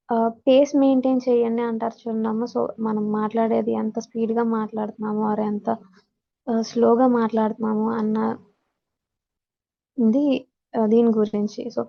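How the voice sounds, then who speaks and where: A woman's voice: fairly high pitch, vocal fry, muffled recording. A woman with a Telugu accent teaching in a lecture style, mixing English terms and read-out notes into her explanations. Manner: code-switches between Telugu and English, instructional.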